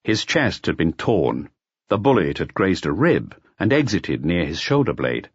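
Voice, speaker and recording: A man reading a mystery audiobook in an expressive, dramatic storytelling manner. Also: low-pitched, a little slow, husky, no room sound, lo-fi sound. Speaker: audiobook narrator. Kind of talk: scripted mystery audiobook narration.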